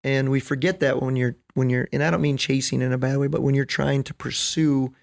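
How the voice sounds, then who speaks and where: A man's voice: medium pitch, level tone, brisk, creaky. A middle-aged man talking earnestly and emphatically as a podcast guest, telling anecdotes and often checking in with the listener. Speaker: podcast guest, basketball coach. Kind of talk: conversational podcast interview.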